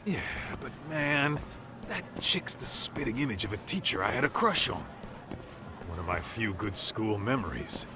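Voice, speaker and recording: Casting: male actor for cocky tough-guy partner in a game, fairly low, very animated, flirty and informal. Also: moderate pace, noisy background, lightly acted. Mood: sheepish, casual. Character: brash, flirty, rough-edged ex-dropout detective sidekick. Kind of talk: video game cutscene dialogue.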